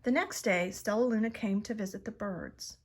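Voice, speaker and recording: A woman reading a children's picture book aloud in an expressive, dramatised way. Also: mid-range voice, moderate pace. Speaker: storybook reader.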